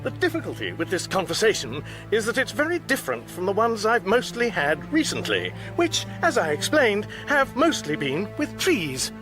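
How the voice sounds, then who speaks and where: A stranded, half-mad Englishman in a radio comedy, music behind him. His high, lively voice dithers between querulous fluster and dry sarcasm. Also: moderate pace, rough recording. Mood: dry, pedantic. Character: bewildered, half-mad, sardonic stranded Englishman in a dressing gown. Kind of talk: radio comedy drama acting.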